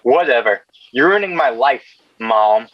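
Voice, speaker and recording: A man's voice: medium pitch, expressive, a little slow. A man playing a sullen, self-absorbed sixteen-year-old boy in a screenplay table read. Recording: dull lo-fi mic, clear noise.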